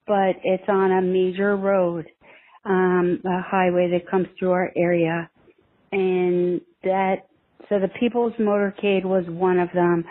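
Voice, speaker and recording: A woman leaving a conversational voicemail as a listener, setting out her account phrase by phrase over the phone. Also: mid-range voice. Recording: narrow tinny phone line.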